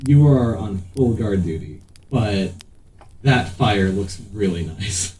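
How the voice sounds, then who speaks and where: A man running a tabletop game as game master, narrating off the cuff with pauses mid-sentence. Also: on the low side, animated, darkish tone. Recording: echoey, noisy room, distant mic.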